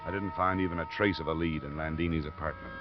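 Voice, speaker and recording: An actor playing a laconic, steady investigator in a 1950s radio detective drama, with a dry, matter-of-fact delivery. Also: male voice, rumbling low, quick pace, music in the background. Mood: dry, narrating. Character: laconic, steady male insurance investigator narrating his case. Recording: muffled old radio broadcast recording.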